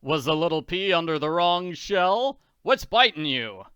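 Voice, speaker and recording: Bluff middle-aged tycoon, read by a man; hearty and teasing, bright clear voice. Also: on the high side, big pitch swings, a little slow, no room sound, clean studio sound, pitched above the performer's own voice, exaggerated voice.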